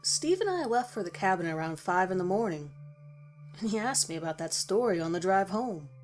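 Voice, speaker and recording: A woman narrates a first-person horror story at a middle pitch, reading from a script. Music plays softly beneath her voice. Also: very animated, fairly quick.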